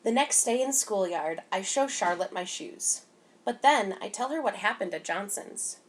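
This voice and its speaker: A woman reading a picture book aloud to children in an expressive, animated way, giving emphasis to the dialogue. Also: medium pitch, moderate pace, slight background noise. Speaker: children's story time reader.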